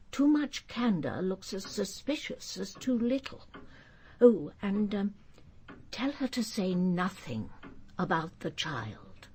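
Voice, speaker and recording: A woman's voice: medium pitch, a little mellow, slight background noise. An actress playing an older aristocratic English lady in a radio drama, speaking in a clipped, commanding and formal way. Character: imperious old aristocratic English lady, controlled and probing.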